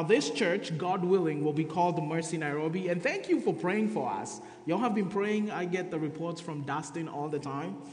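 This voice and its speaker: Kenyan man, thirties, earnest sermon, fairly high voice, long church reverb. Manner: earnest testimony, reading scripture aloud. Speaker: guest preacher. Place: church sanctuary. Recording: echoey church hall.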